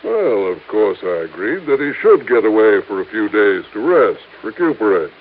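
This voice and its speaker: An actor playing a polite, formal, unsuspecting store owner in an old radio drama. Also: male voice, fairly low pitch, very animated, moderate pace. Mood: puzzled. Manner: formal, courteous. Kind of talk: 1950s American radio drama acting. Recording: tinny, hissy old radio recording.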